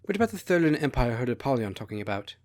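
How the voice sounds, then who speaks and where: A man's voice: medium pitch, very animated, very fast, no echo, close to an everyday voice. Man voicing an earnest teenage princess. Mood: curious. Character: idealistic, naive sixteen-year-old princess, heir to throne.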